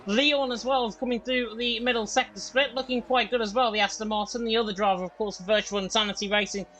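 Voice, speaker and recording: Bright-voiced excited racing commentator, man. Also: sits high, poor audio. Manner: fast, excited play-by-play. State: slightly croaky from being unwell. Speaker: lead commentator.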